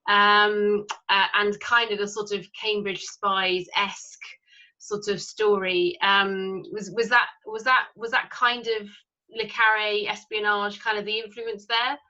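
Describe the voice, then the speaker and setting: A woman's voice: mid-range voice, level tone, unhurried, bright, forceful tone. A woman asking informal interview questions, restarting them as she goes, on slightly lo-fi audio.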